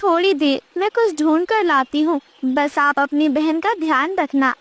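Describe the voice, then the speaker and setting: Very high, tender mother bird played by a woman. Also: a little slow, no echo. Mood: tender, worried. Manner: tender, pleading. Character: gentle anxious mother bird.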